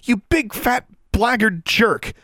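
A man's voice: sits high, takes it slowly, sharp tone, no room sound, clean studio sound, pitched above the performer's own voice, full character voice. A man voicing a hot-tempered, defiant twelve-year-old girl in an audiobook, snapping out indignant retorts. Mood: furious yelling. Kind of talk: audiobook character voice.

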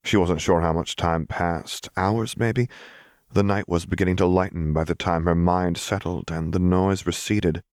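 Low-voiced male story narrator, very dry sound. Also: steady tone, normal speed, darkish tone, no echo. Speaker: audiobook narrator. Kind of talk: dramatic scripted fiction narration.

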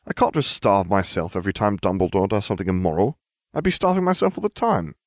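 A man's voice: lowish voice, very animated, quick pace, dry recording, clean studio sound. A man voicing a self-righteous, glib schoolboy with a pompous manner in a storybook reading. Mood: smug. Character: cocky, self-righteous schoolboy, indignant and oblivious.

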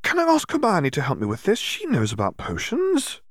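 Man voicing an eager, high-pitched young girl. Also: huge pitch swings, normal speed, no room sound, studio quality, exaggerated voice. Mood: eager, pleading.

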